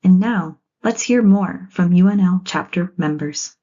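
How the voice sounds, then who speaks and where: A woman's voice: on the low side, unhurried, mellow, slightly rough. A woman reading a scripted award ceremony announcement as the presenter. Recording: muffled lo-fi audio, music behind.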